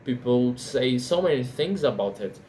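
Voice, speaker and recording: A Brazilian man speaking English as a second language, his first being Portuguese, in an unscripted monologue, often halting and restarting his sentences. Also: mid-range voice, expressive, darkish tone, a little hiss or hum. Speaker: language learner vlogger.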